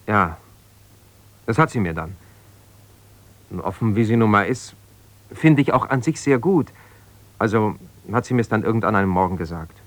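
An actor playing an aggrieved, jealous husband, an ambitious lawyer, in a naturalistic radio drama, talking in a halting, colloquial, self-justifying way as if confiding on the phone. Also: male voice, on the low side, expressive, natural voice. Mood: resigned, frustrated.

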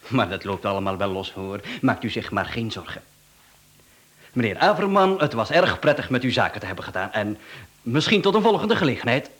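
An actor playing an unflappable, courteous contract killer and swindler in a radio play, speaking with a Flemish accent and businesslike politeness. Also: male voice, medium pitch, very animated, brisk, a bit bright. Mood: businesslike, reassuring.

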